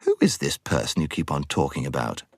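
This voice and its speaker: A man's voice: very deep voice, wildly swooping pitch, fairly quick, slightly rough, no echo, clean studio sound, voice pushed down, heavily acted. A man voicing a questioning, easily indignant schoolgirl with an English accent in an audiobook. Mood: puzzled, indignant. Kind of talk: audiobook character voice.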